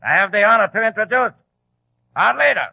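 An actor in 1940s radio style playing a deliberate, menacingly polite bodyguard and henchman. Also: high voice, expressive, vocal fry, husky, exaggerated voice. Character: sinister, formal, disfigured male henchman and bodyguard. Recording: tinny, band-limited old radio broadcast.

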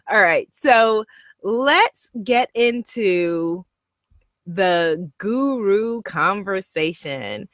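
Animated woman host with a Guyanese accent. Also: mid-range voice, drawn-out words, no room sound, studio quality. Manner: upbeat, animated, emphatic and encouraging. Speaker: online course host and coach. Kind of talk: direct-to-camera e-course lesson.

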